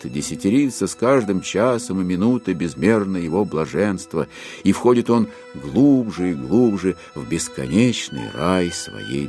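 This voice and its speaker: An actor playing a solemn, philosophizing author who narrates a literary audio drama in a declamatory manner with weighty pauses. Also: male voice, low voice, vocal fry, warm tone, full character voice. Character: solemn, philosophizing male author musing on human passions.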